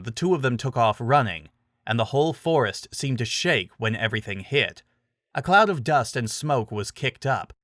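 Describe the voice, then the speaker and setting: A man's voice: medium pitch, a bit bright, no echo, clean studio sound. A man reading scripted dramatic fantasy fiction as narrator.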